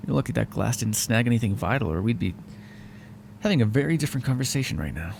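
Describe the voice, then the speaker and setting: A sardonic male vampire, acted out by a man in an intimate audio roleplay. His mid-pitched voice swings widely and crackles with fry as he grumbles, wry and exasperated, at the listener. There is faint noise behind him. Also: animated, quick pace, a little mellow. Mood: grudging, dry. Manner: sarcastic, wry, exasperated, conversational. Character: sardonic, foul-mouthed male vampire, grudgingly helpful, hungry. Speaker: roleplay voice actor.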